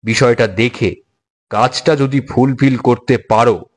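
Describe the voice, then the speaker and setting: A man playing a brisk, talkative office boss giving instructions in a dramatized audio story. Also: medium pitch, lightly acted. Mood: businesslike, persuasive.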